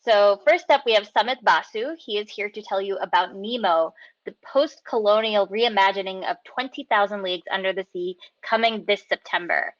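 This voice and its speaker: A woman's voice: medium pitch, moderate pace, fairly bright tone, no room sound. A woman moderating a panel, enthusiastic as she introduces guests. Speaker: author and moderator. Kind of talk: presenting a panel. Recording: slightly dull, lo-fi sound.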